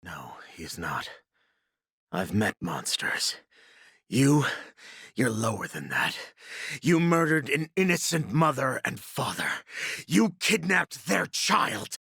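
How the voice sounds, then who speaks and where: Raspy, grim man, slowly condemning. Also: mid-range voice, sing-song, sharp tone, no echo, clean studio sound, heavily acted. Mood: cold disgust. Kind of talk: dramatic video game voice acting.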